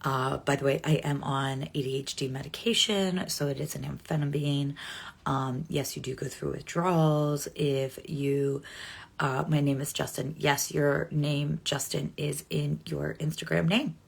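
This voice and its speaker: A woman's voice: deep voice, steady tone, measured pace, vocal fry, husky. A woman in her forties chatting casually with viewers on a live stream, rambling and correcting herself with frequent ums. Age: 40s. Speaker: livestream host.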